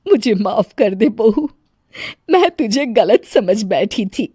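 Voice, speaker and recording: A woman's voice: medium pitch, big pitch swings, slow, dark tone, breathy edge, no echo, studio quality, full character voice. Woman playing a swooping, theatrical mother-in-law. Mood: apologetic. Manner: exclamatory, theatrical. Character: dramatic, scolding middle-aged Indian mother-in-law. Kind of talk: acted Hindi family story cartoon dialogue.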